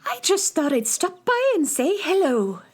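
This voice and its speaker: Very high, swooping little fairy. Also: female voice, big pitch swings, a little slow, faint music behind, exaggerated voice. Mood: chirpy, friendly. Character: tiny red-haired female fairy, chirpy, busy, friendly. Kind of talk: children's audio drama acting.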